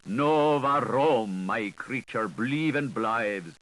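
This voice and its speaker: A man's voice: medium pitch, animated, slow pace, a bit bright. A man voicing a haughty, pontifical animal tyrant, pompous, imperious and declamatory, in an audiobook.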